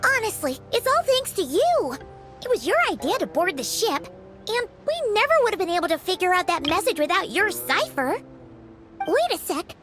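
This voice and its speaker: Super high squeaky little fairy girl, video game sidekick, chirpy and excitable, really bouncy pitch. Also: normal speed, music in the background. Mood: eager, relieved. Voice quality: squeaky, childlike. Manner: exclamatory, chirpy. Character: small, excitable, chatty fairy-like girl companion. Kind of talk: English video game voice acting.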